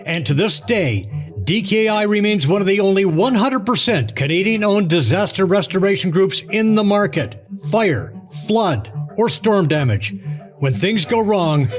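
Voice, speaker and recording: A male announcer reads scripted commercial copy in a fairly high voice. His intonation is lively and varied, with a music bed playing underneath. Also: a little slow. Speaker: advertisement announcer. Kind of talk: scripted ad narration.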